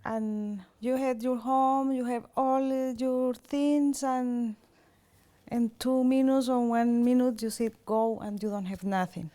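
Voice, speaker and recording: A Spanish-speaking woman with a Colombian accent, recalling her life in halting, limited English. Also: fairly high pitch, fairly even intonation, warm tone. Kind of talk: personal recollection in interview.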